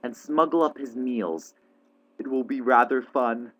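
Eldest boy, English storybook, male performer: middle register, brisk, sensible, game for adventure. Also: mid-range voice, a bit bright, poor audio. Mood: brisk, keen. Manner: brief, matter-of-fact. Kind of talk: dramatized children's storybook reading.

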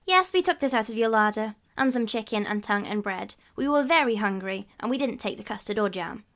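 An earnest young boy from a storybook, put on by a woman reader, speaking in a raised, lively voice that jumps up and down. He pleads earnestly and tries hard to sound brave. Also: a little high, brisk. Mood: pleading.

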